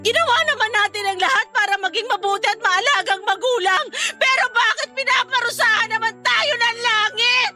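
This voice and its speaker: A woman's voice: fairly quick, hardly any pauses, over music. A woman playing an anguished, accusing grieving mother in a Filipino radio drama, with melodramatic tearful outbursts. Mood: hysterical grief. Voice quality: shrill, tearful.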